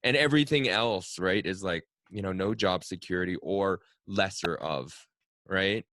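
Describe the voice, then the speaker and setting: A man's voice: low voice, moderate pace, a bit bright, no echo, clean studio sound. A man in his thirties, a native Cantonese speaker, hosting a casual interview podcast and talking reflectively with frequent tag questions. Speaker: podcast host.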